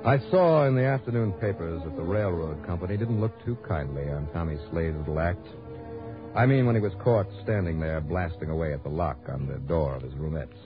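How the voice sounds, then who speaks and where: An actor playing a tough, sardonic American private investigator in a radio drama, with a punchy, wry delivery. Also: male voice, deep voice, expressive, a little fast. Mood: wry, matter-of-fact. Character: tough, sardonic male private investigator narrating his case. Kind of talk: 1950s-60s radio detective drama acting, first-person narration. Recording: dull vintage radio recording with music.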